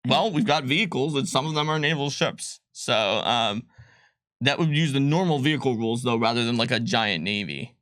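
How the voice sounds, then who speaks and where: A man with a mid-pitched voice talks casually on a livestream, recorded very dry and close. He rambles informally through answers to questions, dropping in jokey asides as he goes. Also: expressive, normal speed, a bit bright, no echo. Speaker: game designer answering viewer questions on a livestream.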